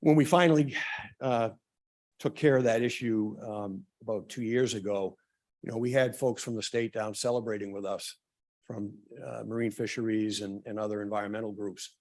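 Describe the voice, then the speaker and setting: Hoarse man with many ums. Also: fairly low pitch, steady tone, a little mellow. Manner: halting, many ums and uhs, restarts. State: losing his voice, clearing throat. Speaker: town official presenting at a public forum. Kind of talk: prepared remarks at a public meeting.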